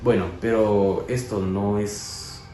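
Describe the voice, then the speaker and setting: A man's voice: on the low side, steady tone, slow pace, warm tone. A man explaining history as co-host in a casual educational chat, with many fillers and restarts. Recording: echoey room with music.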